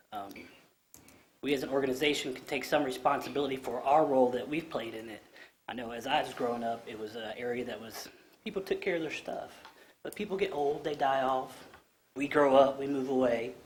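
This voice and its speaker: Man, thirties, low voice, earnest, halting ums, podium microphone, council chamber, faint background hiss. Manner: earnest, plainspoken, frequent ums and restarts. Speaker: community organization speaker at public comment. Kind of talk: public comment speech at council meeting.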